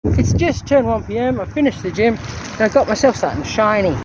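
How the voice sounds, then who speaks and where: A man's voice: high-pitched, lively intonation, brisk, background noise. A man vlogging his day with chatty, self-mocking narration into a handheld phone camera. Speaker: homesteading vlogger. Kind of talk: casual self-filmed vlog narration.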